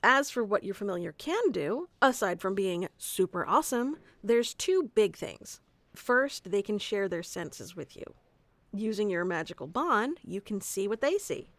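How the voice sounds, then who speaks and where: A woman's voice: medium pitch, big pitch swings, measured pace, a bit bright, no room sound. A woman playing a bossy, geeky tsundere wizard teacher who explains things informally and smugly in an ASMR roleplay. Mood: proud, explanatory. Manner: informal, smug, flustered asides, explanatory. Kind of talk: scripted ASMR roleplay audio addressed to the listener.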